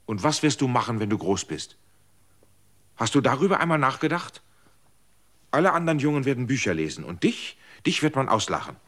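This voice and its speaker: A man's voice: mid-range voice, big pitch swings, brisk, long pauses, a bit bright. An actor playing an earnest man who asks probing questions and lectures in a radio drama.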